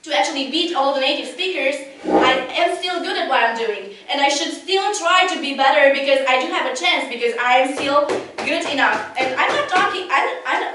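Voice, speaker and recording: High-voiced young woman debating in an echoey hall. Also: lively intonation, fairly quick, sharp tone, recorded from a distance, slight background noise. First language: not English. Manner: earnest, with frequent restarts. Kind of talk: competitive debate speech.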